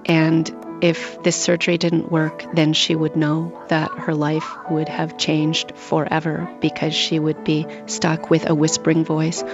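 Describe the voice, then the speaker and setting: Woman, low pitch, flat intonation, thoughtful interview storytelling, slightly muffled recording. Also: measured pace, few pauses. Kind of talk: reflective interview account. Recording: dull, muffled sound with faint music.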